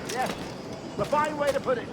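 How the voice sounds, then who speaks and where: A man acting a timid, jolly English merchant gentleman in a video game, with a British accent and a fussy, polite, hesitant way of talking. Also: very high-pitched, measured pace, background noise. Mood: jolly, excited.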